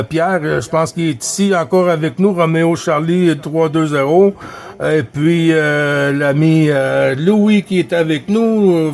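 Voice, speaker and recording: A man with a Quebec French accent and a voice a bit higher than average. He talks as a CB radio operator in an easygoing, conversational way. His delivery is halting, with phrases often said twice. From Quebec, Canada. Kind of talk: casual radio chat with greetings.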